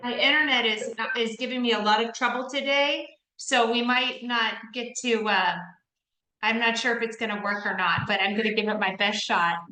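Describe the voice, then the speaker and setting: Bright-voiced woman tutoring online. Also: a little high, steady tone, normal speed, roomy sound, poor audio. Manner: chatty, informal, frequent ums and "right?" checks. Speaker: test-prep tutor. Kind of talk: online group tutoring session. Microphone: computer mic on a video call.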